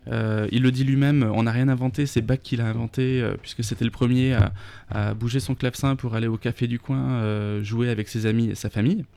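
A man's voice: mid-range voice, steady tone, a little fast, gravelly, dark tone, smooth, no echo. A man, a festival organizer talking through a radio interview, full of fillers and restarts. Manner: hesitant, many fillers and restarts.

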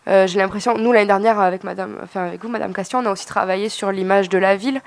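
A woman moderates a live school radio discussion and invites the audience to speak. She restarts her questions a little hesitantly. Her voice sounds dry and close, at a middle pitch. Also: steady tone, rapid-fire, dry recording.

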